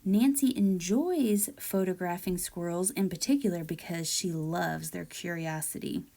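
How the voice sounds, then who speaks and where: A woman reading a children's picture book aloud in a deliberate, expressive storytelling manner. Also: fairly low pitch, vocal fry. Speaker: read-aloud storyteller, teacher-like presenter.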